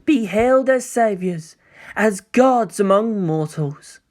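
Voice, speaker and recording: Story villain played by a man: young male angel hybrid, coy, smug, softly theatrical, very lively melody. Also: high voice, slow pace, pitched above the performer's own voice. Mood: gently mocking. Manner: coy, gentle, musing, theatrical.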